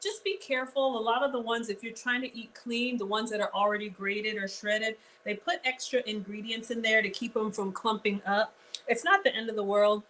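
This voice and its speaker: A woman's voice: on the high side, fairly even intonation, a little fast, fairly bright tone, a little hiss or hum, low-quality recording. A woman hosting a live-streamed cooking class in a home kitchen, chatting straight to her viewers with casual asides. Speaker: cooking show host.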